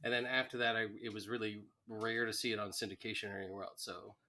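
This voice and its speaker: A man's voice: on the low side, flat delivery, fast, sharp tone. A man co-hosting a podcast, chatting casually and conversationally. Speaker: podcast co-host.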